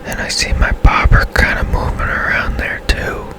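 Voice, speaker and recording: A man whispering softly and casually as he gives rambling, unhurried commentary on a video game for ASMR. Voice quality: soft unvoiced whisper. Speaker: game streamer.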